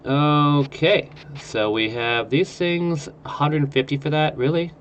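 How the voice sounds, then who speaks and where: A man's voice: a little high, steady tone, takes it slowly, muffled recording, slight background noise. A man with a nasal, stuffed-up voice from a cold, rambling through casual gameplay commentary. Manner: rambling, lots of uh fillers. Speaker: game streamer.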